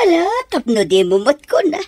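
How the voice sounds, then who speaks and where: A husky middle-aged Ilocano mother in a radio comedy drama, ailing and wheedling, always matchmaking. Her pitch swings widely and the sound is dry and close. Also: sing-song, moderate pace, a little mellow, no echo. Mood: teasing, scheming.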